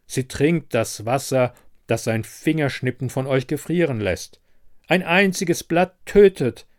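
Storybook Father Frost type read by a man: proud elderly suitor, mid-pitched, measured and courtly. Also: lively intonation, close to an everyday voice. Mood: solemn wooing. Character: proud old winter lord, courtly suitor.

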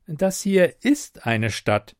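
A man's voice: on the high side, sing-song, extremely slow, no room sound, studio quality, pitched above the performer's own voice, exaggerated voice. A wary young woman, read by a man.

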